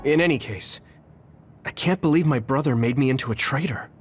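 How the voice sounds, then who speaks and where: Young male rebel soldier for anime game played by a man: earnest, steady, mid pitch, over music. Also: fairly quick, natural voice. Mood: somber, resolved. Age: young adult. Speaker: video game voice actor. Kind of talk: anime game cutscene dialogue.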